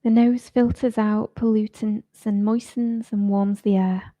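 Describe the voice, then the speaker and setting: A woman teaching yoga nidra, leading a guided relaxation in a soft, soothing, informal way. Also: medium pitch, level tone, a little slow, no echo.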